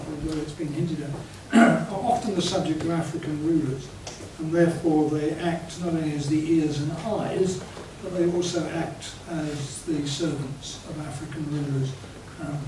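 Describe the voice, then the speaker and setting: Scholarly man in a reverberant hall. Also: fairly high pitch. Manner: measured, scholarly, clears his throat. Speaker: panellist. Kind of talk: academic round-table discussion. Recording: echoey hall, distant mic, background noise.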